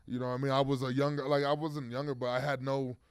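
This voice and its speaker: A man's voice: medium pitch, flat delivery, fast, smooth, no room sound, clean studio sound. A man in his thirties, a football player guest, talking casually in an interview with lots of fillers and restarts. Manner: rambling, many fillers and restarts.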